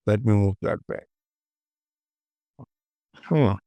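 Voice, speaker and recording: A man giving a casual software tutorial, thinking aloud and muttering short clipped phrases to himself as he works. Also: fairly low pitch, slow, no room sound. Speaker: 3D modeling tutorial presenter.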